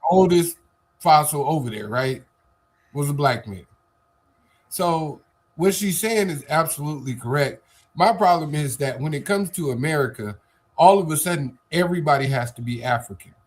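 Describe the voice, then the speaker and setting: Man pushing back hard in a live stream debate, bit higher voice, asks lots of rhetorical questions. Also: animated, moderate pace. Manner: assertive, rhetorical questions, stammers repeats. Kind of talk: casual debate chat.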